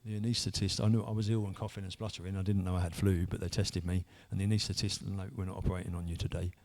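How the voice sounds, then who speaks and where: Gravelly man in his fifties. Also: deep voice, little pitch movement, rapid-fire, darkish tone. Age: 50s. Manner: earnest, rambling with many restarts. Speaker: church member sharing testimony. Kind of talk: personal testimony.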